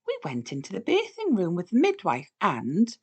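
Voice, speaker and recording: A woman's voice: mid-range voice, huge pitch swings, normal speed, husky. A woman voicing a kindly grandmother pig telling family tales in a children's storybook. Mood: storytelling. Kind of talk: children's storybook read-aloud.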